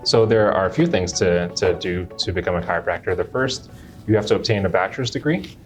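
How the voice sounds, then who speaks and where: Deep-voiced male chiropractor. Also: soft background music. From Jamaica. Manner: unhurried, restarts phrases often. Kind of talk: interview answers.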